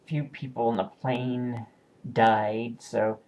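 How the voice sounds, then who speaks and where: A man talks in an easygoing, unscripted way about his collection of discs. His voice sits at an ordinary middle pitch. He pauses often and corrects himself mid-sentence. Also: little pitch movement, darkish tone. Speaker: video collector showing new purchases. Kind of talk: casual home video review.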